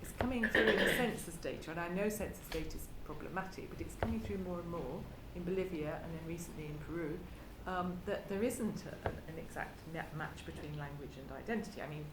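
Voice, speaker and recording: A woman's voice: lowish voice, fairly quick, few pauses. A woman chairing an academic panel discussion, speaking hesitantly and restarting phrases. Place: conference room. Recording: echoey hall with background noise.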